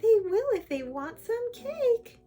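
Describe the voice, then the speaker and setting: A woman's voice: very high voice, very animated, slow pace, soft background music, voice pushed up, exaggerated voice. A woman voicing a sweet, kind and polite young fairy-tale heroine in a storybook reading. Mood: kind, encouraging. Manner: sweet, bright-hearted, earnest.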